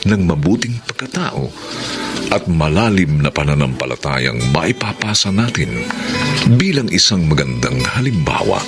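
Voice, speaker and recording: A man narrating and reading credits for a radio drama, slow and dramatic. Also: sits low, sing-song. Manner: slow, dramatic, weighty delivery. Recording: radio broadcast over loud music.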